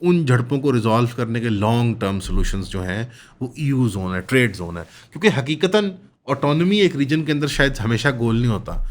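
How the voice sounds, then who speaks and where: A man's voice: mid-range voice, expressive, brisk. A man with a Pakistani accent giving political commentary as an analyst, in long winding sentences with English terms mixed in.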